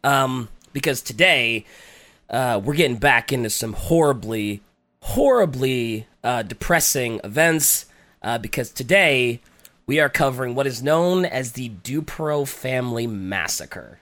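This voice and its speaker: A man co-hosting a podcast, talking casually with a raspy, congested voice while getting over a cold, hesitant and full of fillers. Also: mid-range voice, expressive, unhurried, a bit bright. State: recovering from a cold. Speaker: podcast co-host. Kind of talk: casual chat.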